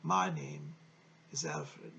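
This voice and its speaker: Woman putting on a solemn, gravelly male spirit teacher. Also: extremely low pitch, very animated, sharp tone, muffled recording, a little hiss or hum, heavily acted. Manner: formal, measured, earnest. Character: Alfred, solemn wise male spirit teacher speaking through a medium.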